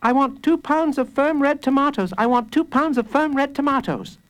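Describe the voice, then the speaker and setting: Man putting on a high-voiced earnest little boy. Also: normal speed. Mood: earnest, insistent. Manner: says everything twice, earnest and insistent. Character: small anxious six-year-old boy, pleading and insistent.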